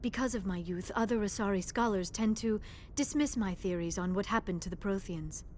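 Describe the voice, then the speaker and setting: A woman's voice: mid-range voice, fairly quick, a little mellow, a little hiss or hum, close to an everyday voice. A woman voicing an earnest, polite young alien scholar in a video game, speaking in a precise, formal way. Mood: earnest, intent. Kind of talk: video game dialogue acting.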